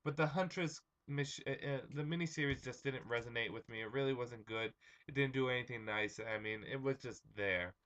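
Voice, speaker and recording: A young man hosting his own comic review vlog, slightly hoarse, chatting casually with lots of ums and uhs. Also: medium pitch, a little fast, fairly bright tone.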